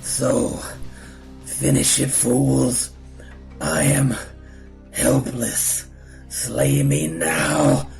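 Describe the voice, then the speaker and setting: A man playing a menacing, arrogant older martial arts master, speaking with pompous, theatrical slowness and a gravelly edge in a fan-made voice comic. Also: medium pitch, big pitch swings, music in the background, full character voice. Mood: bitter defiance. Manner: slow, pompous, theatrical threats.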